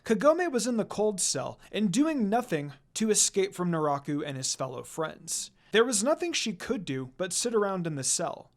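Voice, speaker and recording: A man reads fiction aloud as a narrator. His voice is on the high side and very lively, swinging widely in pitch for dramatic effect. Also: normal speed, few pauses. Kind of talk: dramatic story reading.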